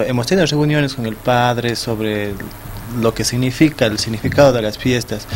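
Hesitant young Ecuadorian man, low voice. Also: noisy background. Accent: Ecuadorian Spanish. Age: young adult. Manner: hesitant, many restarts and repeated words. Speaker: festival sponsor guest. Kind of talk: studio interview. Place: small TV studio. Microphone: desk mic on stand.

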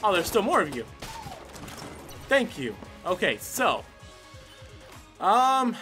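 High-pitched, animated male gamer. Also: takes it slowly. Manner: exclamatory, swearing, talking to himself and the game. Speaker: gaming video host. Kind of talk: casual excited gameplay commentary. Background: game music.

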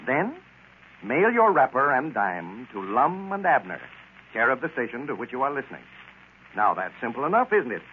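An American man announcing and pitching a sponsor in folksy, polished 1930s radio style. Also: very animated, normal speed. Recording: scratchy, tinny 1930s radio broadcast.

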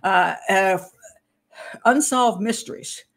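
An older woman with a slightly hoarse voice, hosting a livestream from home into a webcam. She chats in a loose, rambling way, breaks off mid-thought and laughs easily. Her pitch sits at an ordinary middle height for a woman. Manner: chatty, rambling, self-interrupting, quick to laugh. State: hoarse, clearing throat. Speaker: host, criminal profiler. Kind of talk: casual livestream talk.

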